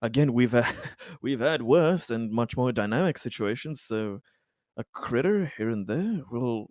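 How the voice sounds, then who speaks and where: A man playing a tabletop roleplaying game, improvising in-character dialogue in a polite, halting manner. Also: medium pitch, expressive, darkish tone, no echo, studio quality.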